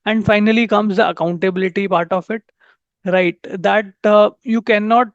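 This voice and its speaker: A man speaking at a tech conference, with a fairly high, gravelly voice that stays flat in tone. He explains point by point and checks in with "right?" again and again, with plenty of "uh" fillers. The audio is dull and dry, with no room sound. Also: normal speed, dry recording. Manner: ends nearly every point with a checking "right?"; frequent "uh" fillers and self-corrections. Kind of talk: technical talk on testing AI systems.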